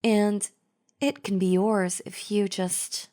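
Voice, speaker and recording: A woman's voice: medium pitch, flat delivery, slow, warm tone, close to an everyday voice. A woman playing a chatty, falsely friendly zombie in an audio roleplay, talking casually and persuasively straight to the listener. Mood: chummy, persuasive. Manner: casual, chummy, persuasive, conversational. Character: chatty female zombie, falsely friendly, coaxing flesh-eater. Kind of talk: scripted audio roleplay, addressing the listener directly.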